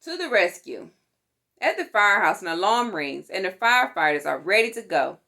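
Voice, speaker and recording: A woman reading a children's picture book aloud in an expressive, deliberate way, with playful exclamations. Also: mid-range voice, gravelly. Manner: deliberate, animated, with playful sound words and exclamations. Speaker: storytime reader for a fire department.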